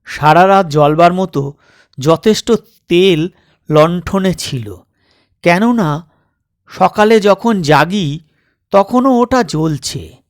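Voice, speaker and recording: A man narrating a suspense story as an audiobook, with measured, deliberate, dramatic phrasing. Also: sits high, no room sound, studio quality. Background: night insect sound effects.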